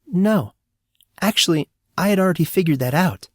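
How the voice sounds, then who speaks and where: Man voicing Cyan, a cool higher-pitched girl. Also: normal speed, no echo, studio quality. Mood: cold. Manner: curt, steady, cold. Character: Cyan, cool, intense teenage girl fighter.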